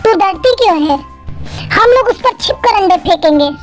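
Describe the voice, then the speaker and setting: Very high-pitched, mischievous schoolgirl. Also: animated, moderate pace, faint music behind. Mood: defiant. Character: bold, mischievous, vengeful young schoolgirl. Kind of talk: Hindi cartoon story dialogue.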